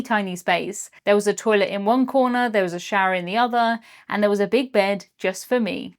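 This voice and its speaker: Woman, British accent, young adult, mid pitch, chatty, upbeat, scripted vlog narration. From Britain. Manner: upbeat, chatty, lightly jokey. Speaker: cruise vlogger.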